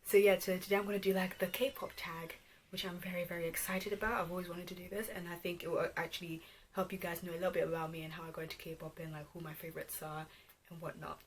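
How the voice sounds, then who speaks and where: A woman's voice: fairly low pitch, little pitch movement, quick pace, a little hiss or hum. A 21-year-old woman from Ghana who later moved to England, chatting casually and conversationally as a vlog host. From Ghana, later England from age twelve. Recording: background music under voice. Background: R&B pop music.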